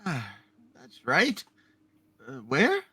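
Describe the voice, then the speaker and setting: A man's voice: on the high side, huge pitch swings, extremely slow, pushed and bright, slightly rough, exaggerated voice. A man voicing a forgetful, muddled but lovable older uncle in an amateur radio play reading. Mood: flustered.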